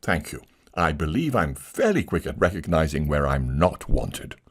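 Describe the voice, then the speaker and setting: Narrator doing a hammy self-pitying tragedian, bitter and proud, husky voice swinging all over. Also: male voice, a bass voice, very animated, moderate pace, heavily acted. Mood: wounded. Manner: melodramatic, wounded, declaiming.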